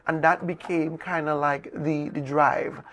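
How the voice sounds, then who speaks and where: A man with a Tobagonian accent giving measured interview answers as a guest, explaining in fairly formal wording. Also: on the high side, little pitch movement, fairly bright tone. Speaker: interview guest, festival movement and dance coordinator.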